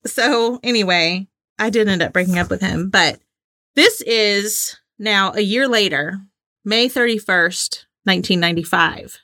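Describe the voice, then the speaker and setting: A woman's voice: medium pitch, a little slow, pushed and bright. A woman in her forties co-hosting a podcast, chatting and reading aloud in a giggly, informal way. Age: 40s. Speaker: podcast co-host. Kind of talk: casual chat and diary reading.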